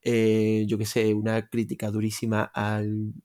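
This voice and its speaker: A man's voice: on the low side, completely flat delivery, a little slow, darkish tone, clear, resonant tone. A man from Spain with a Spanish accent, co-hosting a film podcast with sarcastic, profane rants. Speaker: podcast co-host. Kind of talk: casual crude film-podcast banter.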